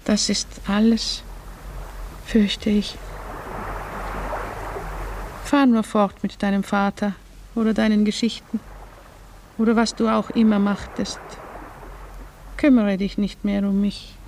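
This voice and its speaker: A grave woman in a literary radio drama. Her delivery is measured and reflective, at a medium pitch for a woman. The old archive recording carries faint noise. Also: flat delivery, a little mellow. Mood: resigned. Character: Ada, grave woman quietly recalling a family quarrel.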